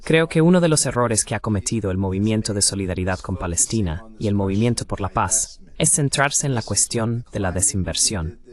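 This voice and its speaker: A man's voice: lowish voice, animated, fairly quick, few pauses. A man giving political commentary as a panelist, explaining his view insistently.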